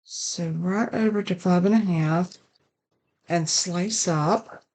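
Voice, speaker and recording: An older woman, raspy and hoarse from a sinus infection, hosting a casual card-making tutorial at her craft desk. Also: fairly low pitch, slow, a little mellow. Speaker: card-making channel host.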